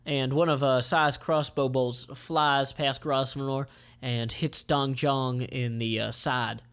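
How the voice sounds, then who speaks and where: A man's voice: mid-range voice, steady tone, a bit bright, clear, resonant tone. Offhand man reading a comic aloud. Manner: halting, offhand. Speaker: comic co-creator and reader. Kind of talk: informal narration of a comic read aloud.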